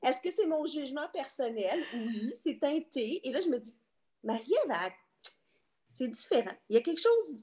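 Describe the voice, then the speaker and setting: A woman's voice: high-pitched, big pitch swings, moderate pace, dark tone. A woman with a Quebec French accent hosting a casual online interview in an informal, chatty way, often feeling for her words. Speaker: interviewer and host.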